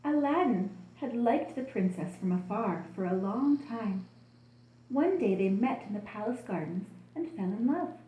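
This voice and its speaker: A woman telling a children's story as an expressive storybook narrator. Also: mid-range voice, a little slow. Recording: roomy, echoing room sound.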